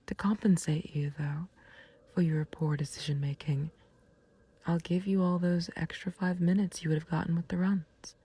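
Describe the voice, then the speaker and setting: A woman playing a calm, taunting villain in an intimate roleplay audio, speaking in a breathy near-whisper with unhurried condescension and mock sympathy. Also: fairly low pitch, heavily acted. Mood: cold menace. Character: calm, taunting female villain, menacing yet fond of a friend. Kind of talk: scripted roleplay audio acting, intimate ASMR.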